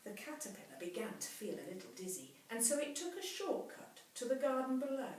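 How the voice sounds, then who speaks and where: A woman reading a children's story aloud like a teacher, dramatically, with hushed, drawn-out phrase endings. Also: a little high, huge pitch swings, distant mic. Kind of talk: expressive children's storybook narration. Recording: very echoey room, off mic, faint hiss.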